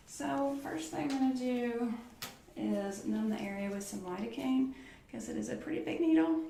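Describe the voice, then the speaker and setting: A soft-spoken woman doing an ASMR medical roleplay as a doctor, murmuring reassuring step-by-step instructions to a patient. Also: a little high, normal speed, vocal fry, reverberant, distant mic, slight background noise. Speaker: ASMR performer playing an orthopedist. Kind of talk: ASMR medical roleplay, one-on-one personal attention. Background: clicks and handling sounds of props.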